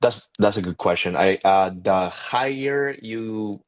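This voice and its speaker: A man in his twenties with a heavy Peruvian accent, talking casually as a guest, halting with many fillers and restarts. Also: lowish voice. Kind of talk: casual interview talk.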